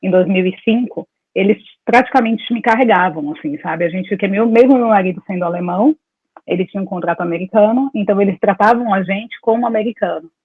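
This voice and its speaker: A woman's voice: mid-range voice, fast, husky, no room sound. Talkative woman over a tinny call line. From Salvador, Brazil. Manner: talkative, meandering storytelling with laughs. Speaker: dentist, interview guest. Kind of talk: casual conversation over a call. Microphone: video call. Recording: dry, tinny phone-like call audio.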